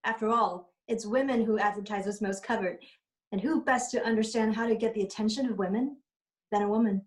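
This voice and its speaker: A woman's voice: medium pitch, steady tone, fairly quick, natural voice. A woman playing a determined, articulate television writer in a screenplay table read.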